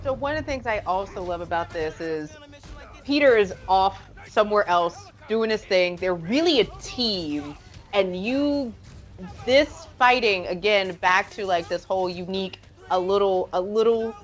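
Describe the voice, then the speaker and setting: Woman host, casual live interview, eager and rambling, mid-pitched. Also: expressive, a little slow. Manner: enthusiastic, rambling questions.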